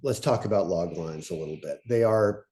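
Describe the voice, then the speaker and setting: A man moderating a casual panel talk in a self-deprecating way, thinking aloud in halting phrases. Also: low voice, mellow.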